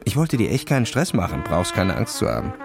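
Cocky, creaky-voiced boastful boy played by a man. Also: fairly low pitch, big pitch swings, fairly quick, loud music behind the voice, pitched above the performer's own voice. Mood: placating. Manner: bragging, sneering, casual youth slang. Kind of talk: children's audio story reading.

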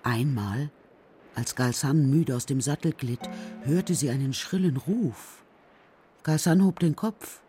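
Narrator reading a kids radio play story, mid pitched voice, bit of music behind. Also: takes it slowly, mellow. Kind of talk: scripted storytelling narration for children's radio drama.

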